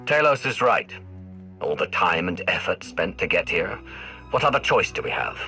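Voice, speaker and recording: A man playing a determined, commanding Sith adventurer in a video game, terse, with a rough husky voice. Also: low-pitched, big pitch swings, moderate pace, bright, forceful tone, full character voice. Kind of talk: scripted game voice acting. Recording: music behind the voice.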